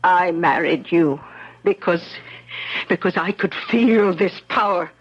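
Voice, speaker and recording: A woman's voice: fairly low pitch, slow pace, breathy edge. An actress playing a young wife who pleads urgently with her husband. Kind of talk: 1970s radio drama acting. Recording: dull lo-fi radio broadcast.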